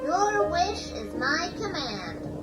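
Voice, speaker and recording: High, girlish British ship's computer. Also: sing-song, slow pace, echoey room, loud music behind the voice, full character voice. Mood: meekly obliging. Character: girlish, timid, oversensitive female ship's computer, eager to please. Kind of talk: British radio science fiction drama.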